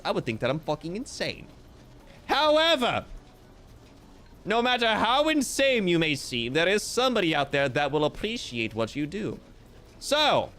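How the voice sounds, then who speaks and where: Playful man with a lively, bouncing voice. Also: a little high. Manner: playful, theatrical, mock-grandiose joking. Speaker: streamer. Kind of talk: casual livestream chat reading viewer comments.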